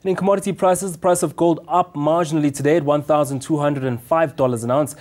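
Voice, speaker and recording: A man's voice: a little high, fairly even intonation, quick pace, a little mellow. Man, business reporter, live market update, unscripted, lots of fillers.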